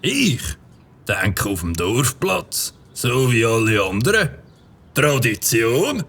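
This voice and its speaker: A man playing a gravelly, carefree motorbike buff. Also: medium pitch, big pitch swings, slow, a bit bright, heavily acted. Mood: breezy. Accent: Swiss German. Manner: cheerful, carefree. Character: jolly middle-aged male motorbike tinkerer. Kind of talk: children's audio drama acting.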